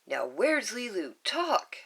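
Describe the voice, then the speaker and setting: A woman's voice: mid-range voice, very animated, slow pace, gravelly, breathy edge, heavily acted. A woman reading a storybook aloud, voicing a fierce, protective young woman who demands answers. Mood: demanding. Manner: demanding, sharp, raised.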